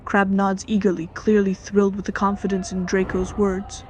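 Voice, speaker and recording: A woman's voice: medium pitch, little pitch movement, moderate pace, darkish tone, slight background noise. A woman reading a scripted roleplay story, narration and dialogue alike. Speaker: story reader.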